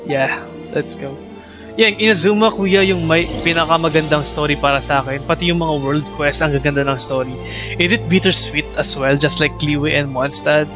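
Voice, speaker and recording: A man's voice: on the high side, a little fast. A man with a Filipino accent and Tagalog as first language, chatting casually and commenting as a game streamer. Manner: reads game dialogue aloud, mixes two languages. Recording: game music behind the voice.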